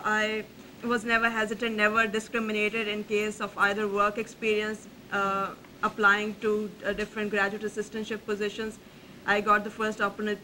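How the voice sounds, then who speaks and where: A woman's voice: mid-range voice, bright, forceful tone, slight background noise, low-quality recording. A young woman from Pakistan, a graduate student speaking earnestly on a university panel, often restarting her sentences. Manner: earnest, halting with many restarts. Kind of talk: panel talk.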